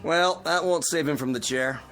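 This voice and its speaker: An actor playing a cynical, breezy drinking companion who wisecracks casually, in mid-century television drama style. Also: male voice, on the high side, moderate pace, fairly bright tone, faint music behind, natural voice.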